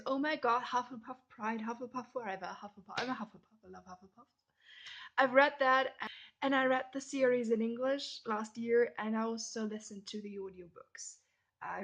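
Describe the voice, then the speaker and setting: A young woman from Germany with a German accent, chatting casually through a list of questions and often dropping into soft whispered asides. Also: a little high, creaky, husky. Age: young adult. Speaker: vlogger. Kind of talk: casual question-and-answer tag video.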